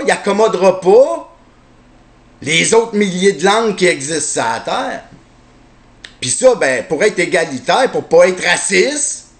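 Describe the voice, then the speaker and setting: Québécois man, lively swooping pitch, slightly high voice, sarcastic ranting monologue. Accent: Québécois French. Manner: heated, sarcastic, repetitive rhetorical questions. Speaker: commentator. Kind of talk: opinion monologue to camera.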